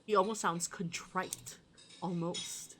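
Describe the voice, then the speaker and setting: A woman's voice: fairly low pitch, steady tone, takes it slowly, noisy background. A woman streaming a game, reading a visual novel aloud with casual, sweary, amused asides. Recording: music behind the voice.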